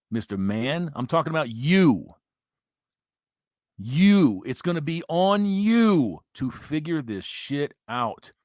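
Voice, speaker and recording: A man's voice: medium pitch, no room sound, studio quality. A man hosting commentary, ranting in a profane, emphatic, preachy way. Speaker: commentary host.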